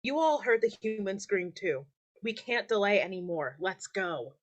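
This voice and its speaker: An actress playing an outspoken, impatient forest animal in a staged reading, blunt and urgent. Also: female voice, medium pitch, animated, normal speed, muffled recording, close to an everyday voice. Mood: impatient, angry.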